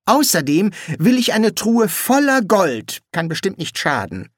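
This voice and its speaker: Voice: mid-range voice, big pitch swings, a little slow, no echo, studio quality, pitched above the performer's own voice. A narrator voicing an eager, gullible young male demonologist who makes demands and then pleads wistfully. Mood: demanding. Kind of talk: audiobook reading.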